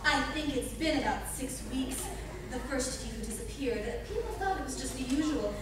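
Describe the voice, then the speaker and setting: A woman's voice: sits high, lively intonation, normal speed. A woman playing a timid, anxious village woman, speaking hesitantly in a comic radio play. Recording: very echoey noisy hall from far off.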